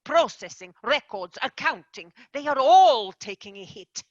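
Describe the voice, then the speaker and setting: A woman's voice: high voice, animated, unhurried, no echo, lo-fi sound. A woman playing a stern female fairy company boss in a stage play, weary, exasperated and prone to sighing. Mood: businesslike. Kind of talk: amateur stage-play acting.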